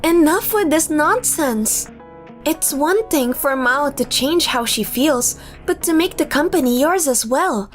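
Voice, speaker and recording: Woman acting high-pitched young romcom woman. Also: expressive, unhurried. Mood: indignant. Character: young women in a romcom: breezy cheating girlfriend, indignant loyal twin. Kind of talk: manga dub acting. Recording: music under the voice.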